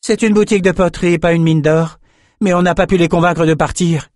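A man's voice: medium pitch, expressive, brisk, a little mellow, no room sound, clean studio sound, natural voice. A man voicing a blunt, combative warrior woman in a fantasy audiobook. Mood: annoyed.

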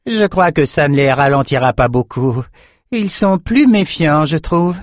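Man as a sardonic, high-pitched warrior woman. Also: animated, measured pace, no echo, clean studio sound. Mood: disgruntled. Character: proud female warrior scout, battle-eager, sardonic.

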